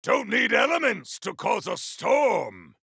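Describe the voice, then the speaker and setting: Game hero: grandiose, vengeful elemental warrior, very gravelly and forceful, theatrical archaic combat lines. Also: male voice, sits high, sing-song, slow pace, no room sound, clean studio sound, heavily acted. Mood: fierce, bombastic. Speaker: voice actor for a video game character. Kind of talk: video game combat barks.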